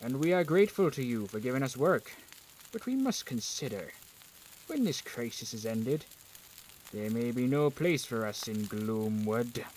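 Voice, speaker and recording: A man's voice: medium pitch, animated, unhurried, darkish tone, slight background noise, natural voice, poor audio. A man playing a resentful working villager in a period audio drama, sardonic and challenging in manner. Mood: gloomy, resentful. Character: bitter, resentful lower-class village husband in plague times.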